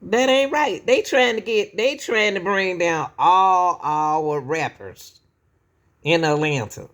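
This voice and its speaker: Slow-talking woman commentator. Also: on the low side, lively intonation. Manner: slow, preachy, repeats "y'all" to the viewers. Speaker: commentary channel host. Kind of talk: casual opinion commentary.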